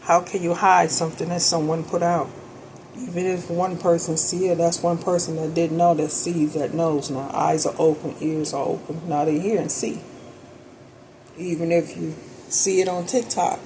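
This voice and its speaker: A woman's voice: lowish voice, steady tone, normal speed, darkish tone, slight background noise, low-quality recording. A woman talking off the cuff in a preachy, conversational way, insistent, in short declarative phrases. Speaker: co-speaker on a spiritual talk video.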